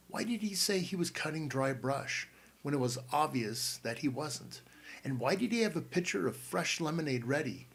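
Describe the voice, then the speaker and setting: A man's voice: medium pitch, husky. A man reading an audiobook and voicing a bookish teenage boy who leads the group and speaks in formal, precise words.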